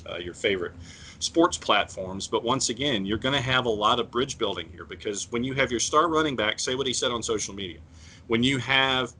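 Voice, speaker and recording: A man co-hosting a sports podcast, talking in a measured way with many fillers and restarts. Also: mid-range voice, bright, forceful tone, a little hiss or hum. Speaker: podcast co-host. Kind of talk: casual sports talk.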